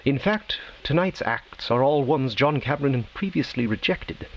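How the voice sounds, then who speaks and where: Radio drama narrator: man as theatrical storyteller, deliberate phrasing, wide swooping intonation, slightly muffled over soft music. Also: mid-range voice, lively intonation, slight background noise, natural voice. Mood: wry, dramatic. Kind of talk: scripted dramatic narration, old-time radio.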